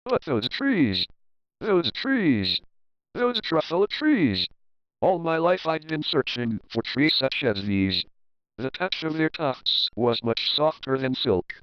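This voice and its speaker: A man narrating a rhyming children's story as an expressive, theatrical read-aloud with deliberate phrasing. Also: on the high side, clear, resonant tone, no echo, lo-fi sound.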